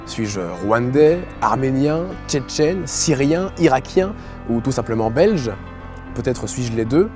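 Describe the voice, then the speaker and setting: A man giving a scripted narration in an expressive, rhetorical way, asking question after question. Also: mid-range voice. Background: guitar music.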